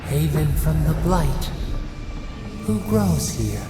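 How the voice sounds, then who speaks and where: Eerie, creaky, slow nature spirit. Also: female voice, deep voice, very animated, full character voice. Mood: eerie serenity. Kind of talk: video game voice acting. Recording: loud music over the voice.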